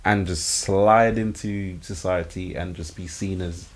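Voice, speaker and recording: A man's voice: low-pitched, fairly even intonation, a crowd in the background. A man from England with a British accent, talking earnestly and reflectively in a casual group discussion. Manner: earnest, reflective, measured wording.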